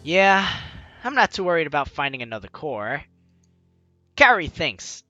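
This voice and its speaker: Guy doing a lets play, reads story out loud dramatically, super bright voice, kinda high, swings up and down. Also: measured pace, no echo, highs cut off, faint music behind. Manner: expressive, reacts with enthusiasm. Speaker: gaming video host. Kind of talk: animated let's play, reading game text aloud dramatically.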